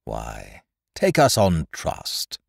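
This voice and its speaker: A man's voice: very deep voice, wildly swooping pitch, drawn-out words, creaky, no echo, clean studio sound, full character voice. A man voicing a hard, proud pirate captain who speaks curtly and coldly. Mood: defiant. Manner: curt, cold and defiant.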